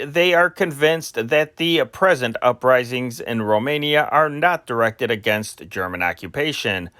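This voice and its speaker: A man reading old newspaper reports aloud as an amateur newsreader, in a deliberate announcing manner with occasional stumbles. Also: fairly high pitch, a bit bright, no room sound, studio quality.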